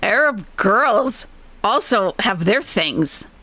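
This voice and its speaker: Lively, chatty woman host. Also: mid-range voice, takes it slowly. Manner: chatty, explaining to viewers. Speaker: host reacting to videos. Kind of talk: casual reaction commentary.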